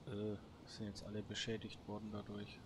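A man's voice: lowish voice, monotone, moderate pace, background noise. A man giving casual solo let's play commentary, thinking aloud to himself. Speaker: gaming video host.

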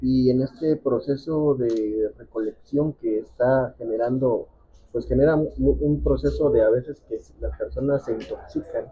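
A man's voice: mid-range voice, breathy edge, dull audio. A man asking interview questions as a reporter.

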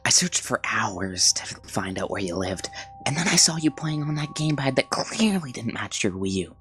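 A woman voicing an unhinged, rambling male stranger, slipping into whispers, with soft background music. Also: rumbling low, sing-song, moderate pace, pitched below the performer's own voice, heavily acted. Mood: rambling menace.

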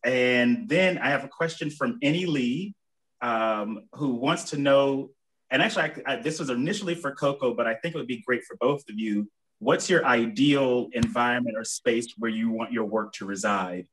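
A man's voice: mid-range voice, normal speed, fairly bright tone, poor audio. A young man hosting a virtual Q&A from home, casually reading out audience questions. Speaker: moderator. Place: home room with plants. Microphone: video call.

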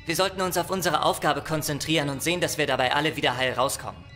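A man's voice: fairly high pitch, fast talker, bright, forceful tone, faint music behind. A man playing a level-headed, practical starship technician in a sci-fi audio drama. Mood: focused.

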